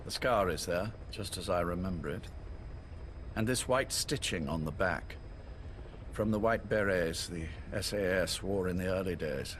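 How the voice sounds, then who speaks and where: A man's voice: low voice, measured pace. A man playing an older army major in a video game, reflective and restrained. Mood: wistful, sombre. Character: wistful, reserved older British military major. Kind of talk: dramatic video game voice acting. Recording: faint noise, recorded tape audio.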